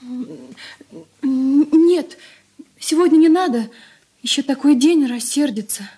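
A shy wounded girl in a radio drama, high mellow voice, kinda slow and unsure. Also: lively intonation. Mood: anxious. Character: timid, dreamy, easily wounded young woman. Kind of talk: Soviet radio play acting.

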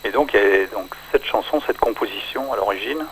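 An older man, a singer-songwriter, talking in a relaxed radio interview, hesitant and full of fillers. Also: lowish voice, a little hiss or hum, low-quality recording. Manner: hesitant, many pauses and fillers.

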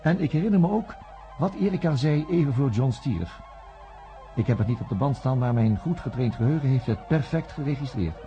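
A man's voice: mid-range voice, expressive, fairly quick, dark tone, lightly acted. An actor playing a wry, reflective male investigator who narrates his case in a radio play. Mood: musing. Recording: dull lo-fi sound with music under it.

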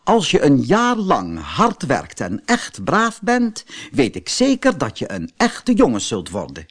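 A man playing a gentle fairy woman. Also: a little high, huge pitch swings, measured pace, full character voice. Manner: gentle, reassuring. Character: kind motherly fairy woman.

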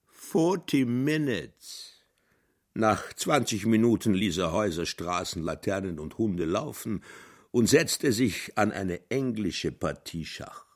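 An actor narrating a literary radio play as a measured storyteller. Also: male voice, on the low side, animated, full character voice.

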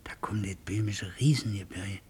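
An actor playing a hardy, contented tramp in a 1950s German radio drama, speaking in a hushed, plain, wistful way. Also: male voice, fairly even intonation, normal speed, slightly rough. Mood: wistful, consoling. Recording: old radio recording with faint rumbling noise.

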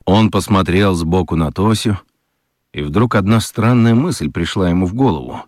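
A man narrating a children's radio story in an expressive, scripted storytelling style. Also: sits low, moderate pace, no room sound, clean studio sound.

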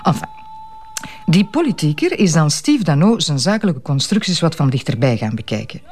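An actress playing a detective's teasing, self-assured wife in a radio drama, with playful, colloquial Flemish speech. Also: female voice, low voice, big pitch swings, moderate pace, darkish tone. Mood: confiding, animated. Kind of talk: naturalistic radio drama dialogue.

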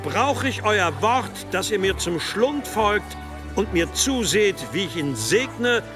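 An actor playing a solemn abbot in an audio drama, formal and preacherly, pleading for trust. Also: male voice, high-pitched, big pitch swings, slow, music in the background, full character voice. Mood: pleading, earnest. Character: solemn monastery abbot preaching to hostile villagers.

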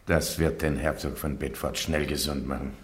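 An actor playing a cynical, dismissive bishop in a radio play, speaking curtly. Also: male voice, very deep voice, steady tone, normal speed, reverberant. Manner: curt, dismissive.

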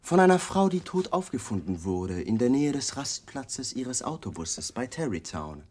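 Probing police detective with lively pitch. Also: male voice, normal speed. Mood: pointed, suspicious. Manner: insistent, pointed questioning. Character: persistent, probing male police detective, coolly polite and suspicious. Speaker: actor in a radio crime drama. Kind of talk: 1980s German radio play acting, interrogation dialogue.

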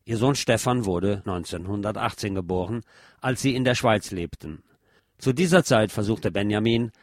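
A man's voice: fairly low pitch, dry recording, clean studio sound. A man reading a scripted biographical narration.

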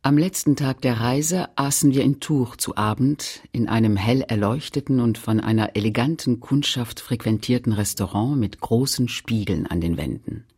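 A woman narrator remembering a painful, shamed childhood. The voice is husky, with sober, deliberate phrasing. It is scripted literary radio storytelling. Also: very deep voice, darkish tone.

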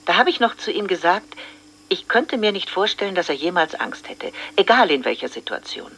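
A woman's voice: on the low side, big pitch swings, normal speed, a bit bright, slightly rough, heavily acted. An actress playing a solemn, grieving small-town woman in a radio drama.

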